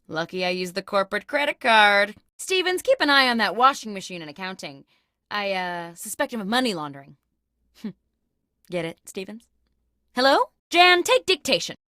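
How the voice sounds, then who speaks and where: A woman's voice: medium pitch, big pitch swings, a little slow, long pauses, sharp tone, no room sound, clean studio sound. A woman playing a clueless, self-important office boss in a comic video game, with a bossy, theatrical delivery. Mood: brisk, bossy. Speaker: video game character host. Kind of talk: comic scripted phone monologues.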